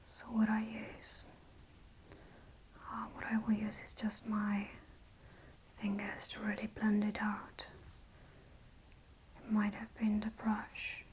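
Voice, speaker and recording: A woman speaking in a breathy whisper, gently explaining each step of a styling session to a client as unscripted ASMR. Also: mid-range voice, completely flat delivery, slow, long pauses, a little hiss or hum, rough recording. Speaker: makeup and colour analysis stylist.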